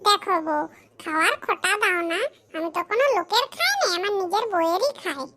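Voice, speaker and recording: A performer voicing a lazy, boastful, hard-drinking husband in a comedy cartoon, with a rural West Bengal accent and a pitch-shifted voice. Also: animated, a little fast, bright, forceful tone, heavily acted. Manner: colloquial rural speech, boastful, cajoling. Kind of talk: comedy cartoon skit dialogue.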